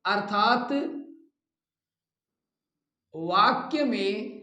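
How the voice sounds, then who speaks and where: A man's voice: high voice, extremely slow, bright, forceful tone, dull audio. A man teaching a grammar lesson in a didactic way, repeating phrases as if for dictation. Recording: echoey, reverberant room.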